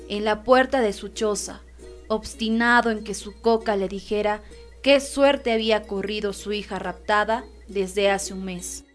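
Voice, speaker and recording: A woman reading a scripted story as the narrator of a radio drama. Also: medium pitch.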